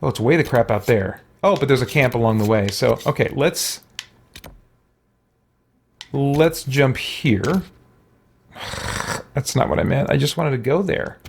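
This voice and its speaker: Animated man doing game commentary. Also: medium pitch, a little slow, vocal fry, pauses often, slight background noise. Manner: thinks aloud, exclaiming. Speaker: gaming channel host. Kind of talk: casual let's play commentary.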